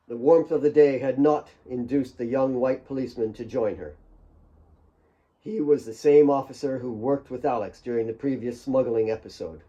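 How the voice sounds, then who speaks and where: Male fiction narrator, medium pitch, warm relaxed tone, measured dramatic delivery. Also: highs cut off. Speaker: author reading own novel.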